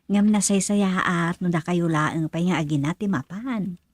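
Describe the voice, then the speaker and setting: A woman playing a chatty, encouraging female friend in a radio drama, with a prodding, animated manner. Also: on the low side, moderate pace, no echo, clean studio sound, lightly acted. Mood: eager, prodding.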